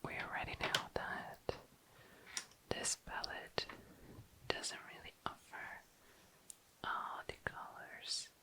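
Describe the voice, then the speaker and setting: An ASMR host speaking in a breathy, unvoiced whisper, softly commenting on a craft and soothingly repeating words. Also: takes it slowly, long pauses. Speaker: ASMR video creator, host. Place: indoors at a desk.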